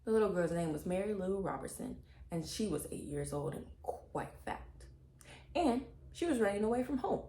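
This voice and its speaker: A woman reading a children's chapter book aloud as an expressive narrator. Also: medium pitch, normal speed, reverberant.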